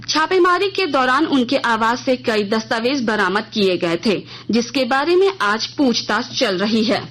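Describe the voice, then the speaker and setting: A woman's voice: high voice, a bit bright. An Indian woman reading a formal, scripted radio news bulletin as the news reader.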